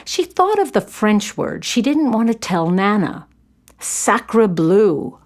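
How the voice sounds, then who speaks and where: A woman's voice: mid-range voice, big pitch swings, takes it slowly, a little mellow. A woman narrating a scripted story for children.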